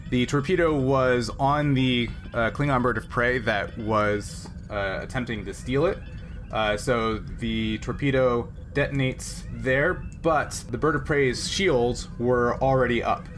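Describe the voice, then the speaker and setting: Man, game master, mid-pitched voice, halting explanations, casual tabletop play, some background noise. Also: steady tone, a bit bright. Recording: clearly noisy room ambience.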